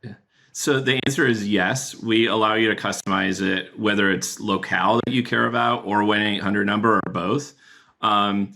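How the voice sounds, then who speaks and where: A man explaining a business software product as a podcast guest, talking in a relaxed way with many fillers. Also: fairly low pitch, gravelly. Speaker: guest, chief product officer.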